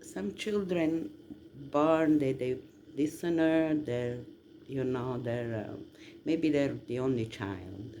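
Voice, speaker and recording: Slow, gravelly man. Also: medium pitch, mellow. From southern Italy. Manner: halting, drawn-out delivery with emphatic questions. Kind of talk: casual rambling monologue. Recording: noticeable background noise.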